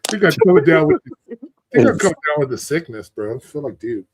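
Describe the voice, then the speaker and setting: A man's voice: fairly high pitch, very animated, fast talker. A man hosting a casual online talk stream, congested and losing his voice, talking loosely with lots of swearing. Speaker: host. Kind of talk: casual livestream chat.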